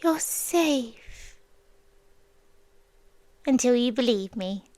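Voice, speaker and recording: A British woman playing a possessive, childlike female sea demon in an ASMR roleplay, speaking in a soft, breathy, coaxing voice that often drops to a whisper. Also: on the high side, lively intonation, extremely slow, no echo. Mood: possessive, soothing. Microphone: close microphone, dry.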